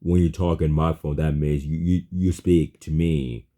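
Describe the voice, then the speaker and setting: Super deep dark mellow guy voice, casual chat, halting n repeats himself a lot. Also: steady tone. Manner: halting, repetitive, non-fluent phrasing. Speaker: chatbot user.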